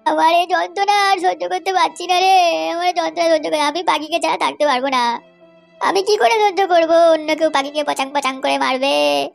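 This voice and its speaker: High-pitched whiny boy played by a man, lovesick, melodramatic, anxious, comedy cartoon, faint music. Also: normal speed, creaky. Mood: wailing despair.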